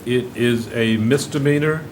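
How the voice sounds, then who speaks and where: A man presiding as judge at a bond hearing, speaking firmly and repeating his questions until he gets a clear answer. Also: medium pitch, unhurried, slight background noise.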